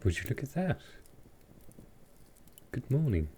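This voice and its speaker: A man voicing a gentle, courtly vampire lord in a roleplay audio drama, speaking softly and formally with halting care. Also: mid-range voice, very animated, slight background noise. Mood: relieved, gentle. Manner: soft, slow, formal, halting. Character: gentle old-world male vampire lord, protective and courtly.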